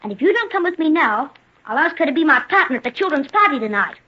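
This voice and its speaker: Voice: high-pitched, very animated, quick pace. A performer playing a bossy, petulant young boy in an old-time radio drama. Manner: petulant, demanding. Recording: dull old radio recording.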